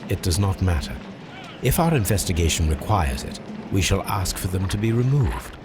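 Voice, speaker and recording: A man playing a shrewd, commanding English gentleman detective in a Victorian video game, speaking with precise, formal phrasing. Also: sits low, animated, normal speed, background noise. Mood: brisk, inquiring. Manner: precise, formal, clipped questioning. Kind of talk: video game cutscene acting.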